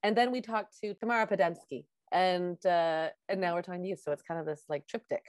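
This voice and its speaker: A woman's voice: on the low side, fairly quick, no room sound, studio quality. A woman hosting an interview podcast, talking in an enthusiastic, effusive way. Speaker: podcast host. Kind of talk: conversational interview.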